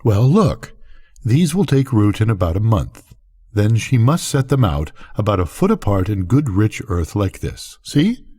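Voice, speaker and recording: An eager farm woman from a bedtime story, acted out by an older man. The delivery swings widely in pitch and has a soft, husky grain. The character is intense and passionate about growing things. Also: very animated, a little slow, exaggerated voice. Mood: earnest, instructing.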